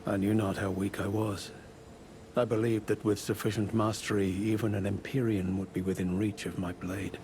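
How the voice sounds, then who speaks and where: An actor playing a courteous, world-weary old knight turned scholar in a video game, speaking in formal, measured, archaic phrasing. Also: male voice, fairly low pitch, flat delivery, darkish tone, a little hiss or hum, natural voice. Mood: rueful, haunted. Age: older. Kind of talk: video game character dialogue.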